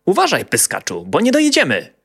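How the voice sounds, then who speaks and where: A man acts out a muscular dwarf father in a fairy tale. He is deliberate but easily exasperated by his child, with lively movement in the pitch. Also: creaky, breathy edge, exaggerated voice. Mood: urging.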